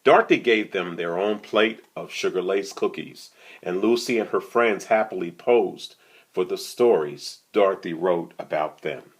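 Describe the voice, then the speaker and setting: A low-pitched man reads a children's storybook at an unhurried pace, his voice rough-edged and husky. He speaks conversationally, slipping between the story and casual questions for his young listeners. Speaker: storytime reader. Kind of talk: reading a picture book aloud, with casual asides to young listeners.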